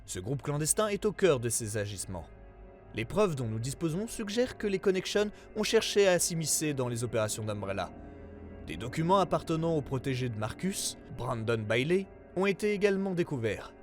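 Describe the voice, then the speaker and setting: A man reading a scripted investigative report as narrator, in a formal, measured way. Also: on the high side, very animated, soft background music.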